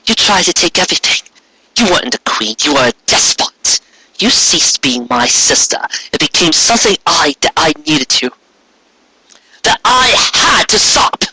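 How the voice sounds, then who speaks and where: A man's voice: fairly high pitch, very animated, normal speed, bright, forceful tone, husky, no room sound, pitched above the performer's own voice, heavily acted, poor audio. A man voicing a defiant, bitter alicorn princess in a storybook reading, accusing and sarcastic. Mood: bitter condemnation.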